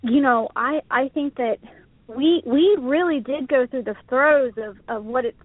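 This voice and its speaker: A woman's voice: sits high, unhurried, no echo. A woman in her forties chatting casually as co-host, rambling and self-deprecating, often laughing at herself. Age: 40s. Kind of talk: casual chat on internet radio. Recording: dry tinny phone line.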